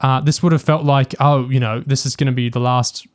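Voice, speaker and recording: A man's voice: medium pitch, steady tone, brisk. A man co-hosting a podcast in casual discussion, tentative and musing as he thinks aloud. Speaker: podcast co-host.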